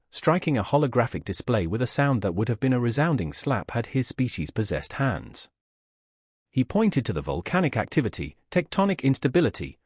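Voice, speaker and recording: A man's voice: mid-range voice, a little mellow, slightly rough, no echo, studio quality. A man narrating a scripted science fiction story in a wry storytelling style.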